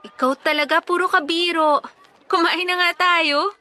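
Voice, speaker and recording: An actress playing a teasing but sensible young college sweetheart in a radio drama, pert and pressing. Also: female voice, very high voice, fairly even intonation, normal speed, pushed and bright. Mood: fond teasing. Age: young adult. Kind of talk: Tagalog radio drama acting.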